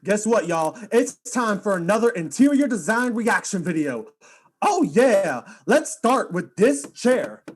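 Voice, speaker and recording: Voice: medium pitch, very animated, slightly rough. An actor as a wildly swooping goofy space influencer. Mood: hyped. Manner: exaggerated, slangy, drawn-out hype delivery. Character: goofy, upbeat galactic influencer wannabe, slangy streamer. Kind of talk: comic audio drama acting.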